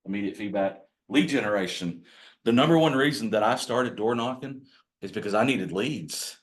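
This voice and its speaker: Male trainer, low growly voice, folksy and self-deprecating, workshop delivery. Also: moderate pace. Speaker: real estate agent training other agents. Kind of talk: casual workshop talk to a group.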